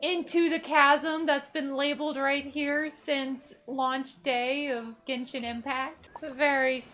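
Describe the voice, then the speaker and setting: A woman's voice: high-pitched, little pitch movement, a little slow, a bit bright. A woman hosting a gaming video, giving casual, joking, teasing commentary as she plays. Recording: faint game music behind.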